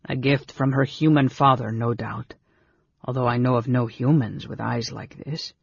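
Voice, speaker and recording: A man voicing a gentle, grave male companion in a storybook, speaking softly in a calm, consoling way. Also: gravelly, husky, no room sound. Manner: soft, low, measured. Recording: dull lo-fi recording, very dry.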